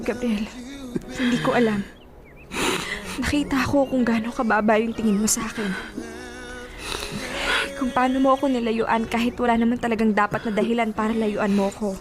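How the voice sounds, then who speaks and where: A woman plays a proud, hurt young woman with a Filipino accent in a radio drama. Her voice is fairly high, wounded and reproachful, with music playing softly beneath. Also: lightly acted. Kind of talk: emotional radio drama acting. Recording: music under the dialogue.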